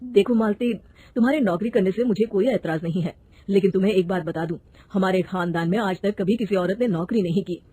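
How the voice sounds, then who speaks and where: A woman voicing a gentle, reasonable young husband in a husky, lowered voice. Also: brisk, no room sound, lightly acted. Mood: calm.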